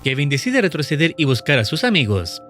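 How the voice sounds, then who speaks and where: A man narrating in a steady, measured scripted voice-over, explaining the plot of a horror video series. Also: on the high side. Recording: voice-over with faint music behind.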